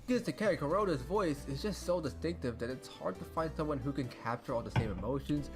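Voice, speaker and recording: A man giving scripted, measured and analytical narration for a video essay. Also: a little high, lively intonation. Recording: narration played over music.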